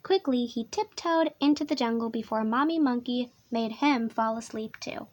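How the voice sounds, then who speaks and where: A woman with a high voice reads a children's story aloud. Her delivery is soothing and expressive, shaped for a young listener at bedtime. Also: moderate pace. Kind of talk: animated children's storybook reading.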